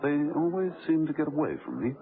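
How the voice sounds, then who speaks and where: A man playing a suave, wry gentleman agent in a radio drama, with an urbane, understated manner. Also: on the high side, huge pitch swings, fast talker. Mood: wry, teasing. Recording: muffled band-limited old radio recording.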